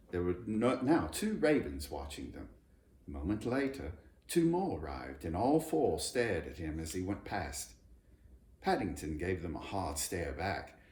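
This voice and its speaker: A man narrating a children's story in an expressive, scripted storytelling style. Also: deep voice. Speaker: storybook reader. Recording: roomy echo, background noise.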